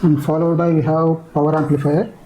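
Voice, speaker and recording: A man teaching in an explanatory lecture style, with a halting, repetitive delivery. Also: on the high side, flat delivery, background noise.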